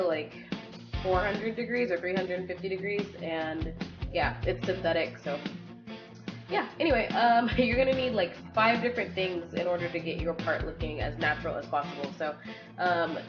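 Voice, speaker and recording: A young woman with a congested voice from a cold, casually chatting through a hair tutorial with lots of fillers. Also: mid-range voice. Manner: chatty, filler-heavy, rambling. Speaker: beauty vlogger. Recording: dull lo-fi sound with background music. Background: music.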